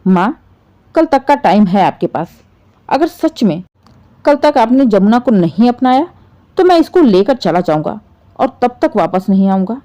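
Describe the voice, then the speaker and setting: A woman's voice: mid-range voice, sing-song, unhurried, dark tone. Woman acting upset, determined young son. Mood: upset, resolute. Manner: earnest, reproachful.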